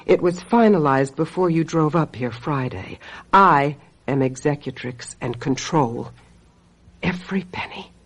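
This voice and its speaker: Husky, coldly seductive, scheming witch. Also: sits low, animated. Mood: cold, weary. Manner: deliberate, menacing, mock-sweet. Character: vengeful, scheming woman, a self-styled witch, coldly seductive. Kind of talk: old-time radio mystery acting.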